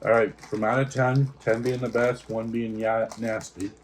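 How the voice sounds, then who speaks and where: A man's voice: medium pitch, little pitch movement, normal speed, heavy background noise. A man hosting a casual snack taste-test, reading out product notes and stumbling over foreign names. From United States.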